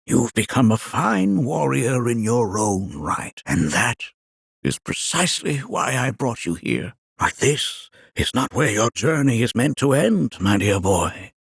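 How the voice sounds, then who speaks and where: An actor playing a wise, kindly, very old village elder in a video game, raspy and creaky, speaking in formal, archaic, theatrical phrases like a storyteller. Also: male voice, mid-range voice, sing-song, dry recording, clean studio sound, full character voice. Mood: fond, proud. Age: older. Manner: formal, archaic, measured storytelling with dramatic flourishes.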